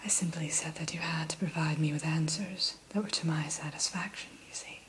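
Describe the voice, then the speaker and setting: A woman in an ASMR roleplay who whispers gently and courteously as the keeper of a dragon hatchery. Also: deep voice, steady tone, brisk, slight background noise.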